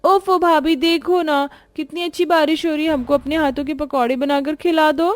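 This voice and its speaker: A woman's voice: high voice, fairly even intonation, normal speed, no echo, pitched above the performer's own voice, lightly acted. A woman voicing five greedy, bossy young sisters-in-law who keep demanding food. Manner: bossy, whiny, loud.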